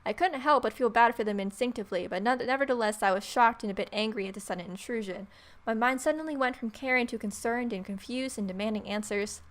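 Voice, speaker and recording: A woman's voice: on the high side, fast. A woman hosting a let's play, reading a visual novel aloud with playful, irreverent casual commentary.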